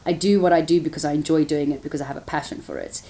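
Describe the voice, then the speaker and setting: A woman's voice: low voice, fairly even intonation, rapid-fire, warm tone. A woman, a TV presenter answering interview questions frankly, with laughing asides. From South Africa.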